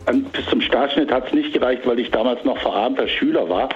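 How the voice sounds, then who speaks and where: A man's voice: medium pitch, fairly quick, breathy edge, lo-fi sound, soft background music. Wry older man phoning in. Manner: wry, jokey. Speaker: phone-in caller. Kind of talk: casual chat, reminiscing. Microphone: telephone.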